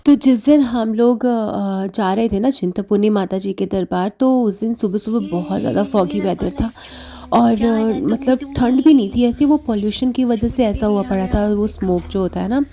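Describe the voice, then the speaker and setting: Woman vlogger with a sore throat, mellow and chatty voice-over. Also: medium pitch, normal speed. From Punjab. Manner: chatty, homely. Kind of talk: voice-over narration.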